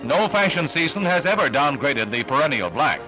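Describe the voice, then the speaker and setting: A man's voice: fairly high pitch, big pitch swings, normal speed, low-quality recording. An American man reading scripted 1960s newsreel narration in an emphatic, formal announcer delivery, on a vintage soundtrack. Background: music under the voice.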